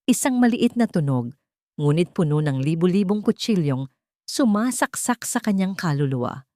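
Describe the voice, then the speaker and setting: A woman reads a melodramatic story in a fairly low voice. Her delivery is solemn and scripted, and the sound is dry and close, with little room around it. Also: lively intonation, normal speed, mellow, no room sound. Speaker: storyteller narrator. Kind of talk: dramatic scripted narration of a romance story.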